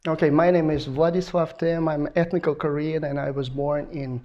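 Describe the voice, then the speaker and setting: A man in his thirties, a native Russian speaker from Uzbekistan, talks as an interview guest. His voice sits slightly high, and he explains step by step with small hesitations. The recording sounds a little dull and lo-fi. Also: fairly even intonation, normal speed. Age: 30s. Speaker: guest, polyglot teacher. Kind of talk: interview answers.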